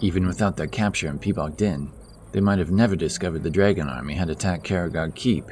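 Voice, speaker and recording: Deep-voiced male audiobook narrator. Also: quick pace, darkish tone, a little hiss or hum.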